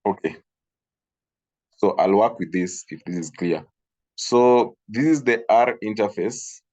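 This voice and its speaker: A man's voice: on the low side, unhurried, dark tone. A man from Kenya running an online training session, hesitant, with many fillers and repeated words. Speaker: instructor. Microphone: video call.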